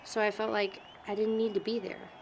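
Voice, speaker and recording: A woman's voice: mid-range voice, flat delivery, brisk, a faint crowd, a little hiss or hum, natural voice, rough recording. A young woman playing a chatty college student in an indie film, with a teasing, informal manner. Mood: candid, unsure. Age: young adult. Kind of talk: naturalistic amateur film dialogue.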